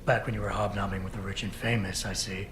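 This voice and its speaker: A man's voice: sits low, flat delivery, fast, over music, lightly acted. An actor playing a wry, guarded man in a video game cutscene, with a dry, terse delivery. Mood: wry, teasing. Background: game score.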